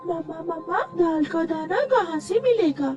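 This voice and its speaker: Woman as high-voiced cartoon bird boy, eager, persistent, pleading, distant mic, music behind. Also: animated, unhurried, a little mellow, highs cut off. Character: eager little male bird child, friendly and persistent. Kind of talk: Hindi cartoon story acting.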